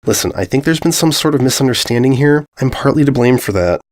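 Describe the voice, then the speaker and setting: A man's voice: mid-range voice, expressive, fast talker, darkish tone, close to an everyday voice. Man voicing a breezy, oblivious stallion pony. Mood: sheepish.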